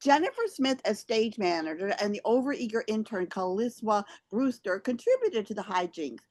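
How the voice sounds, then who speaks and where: Woman presenting theater reviews, mid-pitched. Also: very animated, normal speed, a little mellow. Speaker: theater reviewer and show host. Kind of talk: scripted review read aloud.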